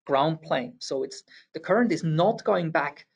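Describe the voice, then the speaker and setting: Swiss German male presenter, gravelly husky voice, patient technical explainer. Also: on the high side, expressive. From Switzerland. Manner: explanatory, slightly halting with fillers and restarts. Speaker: webinar presenter.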